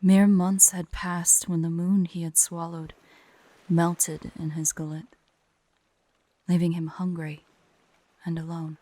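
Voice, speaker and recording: A woman voicing a haughty, vain, teasing female dragon who is secretly fond, in an intimate, breathy, imperious ASMR roleplay style. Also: on the low side, level tone, warm tone, no room sound. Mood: storytelling, grave. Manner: slow, imperious, playful, breathy near-whispers at times.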